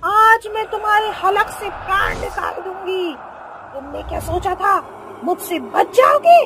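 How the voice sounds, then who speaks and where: A woman playing a vengeful, grieving witch mother in a cartoon horror story, with a dramatic, drawn-out delivery. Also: very high voice, animated, background noise, full character voice. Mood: furious threat.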